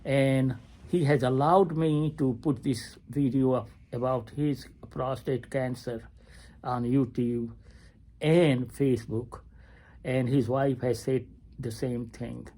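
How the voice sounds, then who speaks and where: Slow, halting man in his eighties. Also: mid-range voice, steady tone, warm tone. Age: 80s. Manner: slow, halting, with many pauses and fillers. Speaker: retired family physician. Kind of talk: personal video diary. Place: hospital waiting area.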